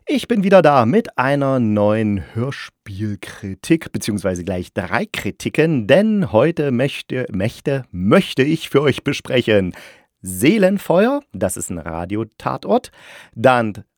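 A man's voice: medium pitch, sing-song, takes it slowly, no echo, studio quality. A man hosting a podcast in a casual, chatty style, often correcting himself as he reviews. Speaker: podcast host and radio drama reviewer.

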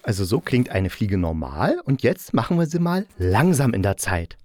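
Man with a low voice, casually reviewing. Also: sing-song, normal speed, a little mellow. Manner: opinionated, nitpicking, colloquial. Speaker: audio drama reviewer and host.

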